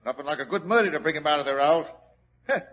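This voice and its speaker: Man doing constable, folksy, lively, lo-fi old radio. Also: a little high, very fast, close to an everyday voice. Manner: folksy, dropped letters. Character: chatty London police constable. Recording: dull old radio recording.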